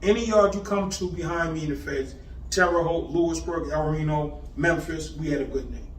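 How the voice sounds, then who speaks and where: A man in his fifties from Boston, telling his life story in animated street slang, rattling off places. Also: fairly high pitch, moderate pace. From Boston, Massachusetts. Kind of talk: casual storytelling interview. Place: indoor room on location. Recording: echoey room, far mic, faint background hiss.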